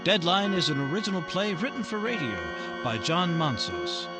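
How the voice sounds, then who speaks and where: A man playing an upbeat radio announcer of the 1950s, with a polished, emphatic pitchman's delivery. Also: very animated, normal speed, over loud music. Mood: brisk, formal.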